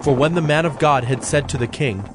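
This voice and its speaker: A man narrating a dramatized scripture reading in a measured, solemn manner. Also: on the high side. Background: soft background music.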